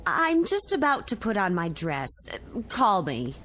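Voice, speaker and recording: A woman's voice: mid-range voice, wildly swooping pitch, a little slow, a bit bright, a little hiss or hum, heavily acted. An actress playing a cool, exasperated woman fending off a suitor, curt, in a vintage television drama. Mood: coolly dismissive.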